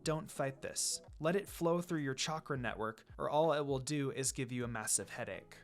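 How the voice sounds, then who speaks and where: A man's voice: mid-range voice, moderate pace, faint music behind, voice pushed down, natural voice. A man voicing a serious, bossy young girl who is a ninja medic in a story reading. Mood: firm, concerned. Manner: serious, firm, clinical.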